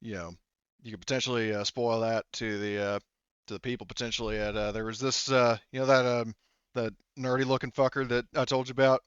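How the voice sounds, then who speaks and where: A man's voice: medium pitch, level tone, fairly quick, smooth, no echo, lo-fi sound, close to an everyday voice. A man voicing a blunt, informal male security subordinate who rambles in a roleplaying game. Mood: suggesting.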